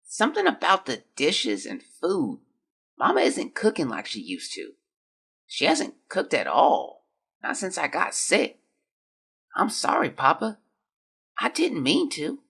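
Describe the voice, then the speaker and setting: An actor as a husky, sick young child. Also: mid-range voice, wildly swooping pitch, measured pace, long pauses, fairly bright tone, heavily acted. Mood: weary, upset. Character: sick, frightened young child writing a diary in 1914. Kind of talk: dramatic diary monologue.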